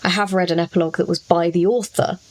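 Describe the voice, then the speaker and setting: A woman's voice: lowish voice, steady tone, fast talker. A woman in casual discussion, giving frank and opinionated views in an informal way. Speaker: podcast guest commentator on writing.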